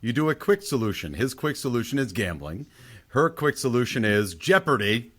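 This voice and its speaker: A man hosts a casual film discussion in a medium-pitched voice with lively swings. He is opinionated and emphatic, and circles back to hammer his points. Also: moderate pace. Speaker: film podcast host.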